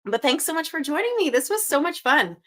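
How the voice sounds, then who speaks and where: A woman's voice: high voice, big pitch swings, brisk. A woman from Canada hosting a live crossword stream, reading out viewer guesses in an upbeat, chatty way and thinking aloud. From Canada (Montreal).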